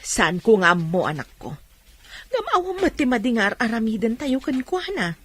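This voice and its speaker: A woman's voice: a little high, big pitch swings, moderate pace, a bit bright. A woman acting as a patient, encouraging mother of a poor family in an Ilocano radio drama.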